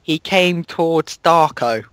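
A man's voice: a little high, slow, a bit bright, smooth, no echo. A man reading a fan story aloud in a mock-dramatic way, stumbling over words and laughing at the text.

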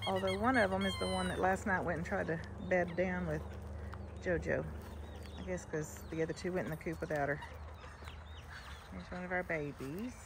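A woman's voice: fairly low pitch, steady tone, normal speed, long pauses, noisy background. Chatty older woman among clucking hens. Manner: chatty, sing-song greetings to her hens. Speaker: backyard chicken keeper, vlogger. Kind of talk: casual walk-through chat. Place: outdoors at a backyard chicken coop. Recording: outdoor recording with clear clucking hens. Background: chickens clucking.

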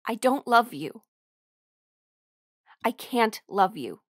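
A woman's voice: a little high, big pitch swings, slow pace, no room sound, clean studio sound, heavily acted. A woman acting in an audio roleplay as a defensive, emotional musician rejecting her bandmate's love. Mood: angry, exasperated. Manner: emotional, exasperated, swearing. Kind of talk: audio roleplay drama monologue.

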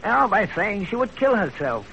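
An actor playing a wily, talkative old matchmaker who hems and repeats himself, in a 1930s radio drama. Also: male voice, sits high, sing-song, moderate pace, gravelly, sharp tone, heavily acted. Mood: matter-of-fact, busy. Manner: rambling, hemming, repeats phrases. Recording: noisy, crackly 1930s radio broadcast.

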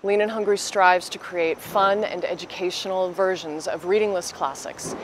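A woman's voice: on the low side, steady tone, normal speed, low-quality recording. A woman giving an explanatory interview soundbite outdoors on a city street. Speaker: theater company representative. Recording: faint outdoor street noise.

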